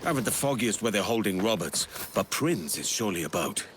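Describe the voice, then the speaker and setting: An actor playing a roguish, wry young pirate captain, in a video game cutscene. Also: male voice, on the low side, animated, brisk, a little hiss or hum, natural voice. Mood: dry, low. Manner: wry, brash. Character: roguish, self-serving male pirate captain, brash and wry. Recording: game cutscene with music behind.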